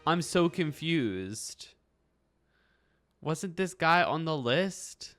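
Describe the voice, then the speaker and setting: A man's voice: fairly high pitch, animated, very slow, a bit bright. A man giving casual game reaction commentary, muttering incredulous asides and repeating himself. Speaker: reaction video host. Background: faint game music.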